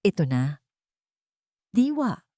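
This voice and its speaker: A grave, commanding father full of remorse, voiced by a woman. The voice sits low and speaks earnestly and haltingly, as in an audio drama. The sound is very dry. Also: very animated, dark tone, no echo, heavily acted. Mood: remorseful. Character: remorseful, commanding billionaire father confessing and apologizing.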